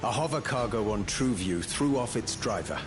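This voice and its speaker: A man playing a grave, watchful ally in a fantasy video game, serious and measured. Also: lowish voice, lively intonation, noisy background, lightly acted. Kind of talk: dramatic video game acting.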